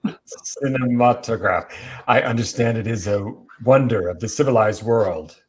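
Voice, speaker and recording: A man's voice: medium pitch, moderate pace, lightly acted. A man playing a courtly foreign vampire count with formal, courteous manners in a horror play.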